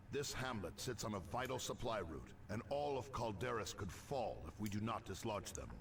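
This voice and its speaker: An actor playing a stern space marine commander, authoritative and formal, in a sci-fi military game briefing. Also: male voice, on the low side, far from the mic, slight background noise, lightly acted.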